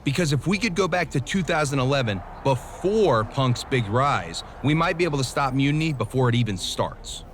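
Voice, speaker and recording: A man acting as a weary, serious pro wrestler in a video game cutscene. His mid-pitched voice is dry, measured and exasperated. Clear ambient noise sits behind him. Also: animated, fairly bright tone, close to an everyday voice. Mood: dry, resolved.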